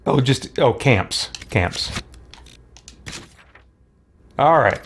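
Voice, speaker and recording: A man's voice: medium pitch, animated, very slow, a little hiss or hum. A man hosting a let's play, thinking aloud in casual commentary as he goes. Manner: thinks aloud, muttering to himself. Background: game music and sound.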